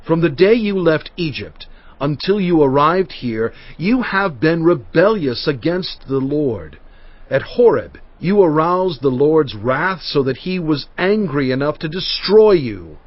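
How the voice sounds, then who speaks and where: Solemn, animated biblical prophet. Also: male voice, fairly high pitch, unhurried, no room sound. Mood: stern, reproving. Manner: solemn, emphatic oratory. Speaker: dramatized audiobook reader. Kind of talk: dramatized scripture reading. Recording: dull audio with faint music bed. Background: faint background music.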